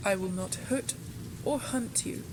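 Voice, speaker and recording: A woman performs a proud vampire baroness in an ASMR audio drama. She speaks slowly and deliberately in a medium-pitched female voice, weighing each phrase with imperious authority. A clear wash of rain noise sits over the recording. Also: lightly acted. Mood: cold, stern. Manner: imperious, measured, deliberate delivery with dramatic pauses. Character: proud vampire baroness, menacing yet secretly tender. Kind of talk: scripted ASMR roleplay, slow and theatrical.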